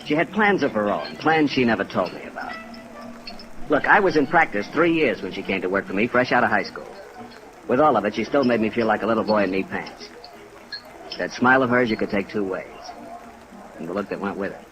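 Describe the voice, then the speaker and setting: An actor playing a bitter, wounded American doctor in a 1950s radio drama, brooding and emphatic. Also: male voice, on the low side, animated, a little fast, music in the background, natural voice, poor audio. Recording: old radio recording with rain noise.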